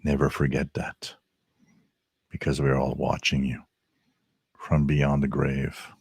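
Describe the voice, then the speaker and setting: A man putting on a spooky voice from beyond the grave, in a slow, ominous drawl. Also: very deep voice, completely flat delivery, darkish tone, breathy edge, no echo, studio quality, pitched below the performer's own voice, heavily acted.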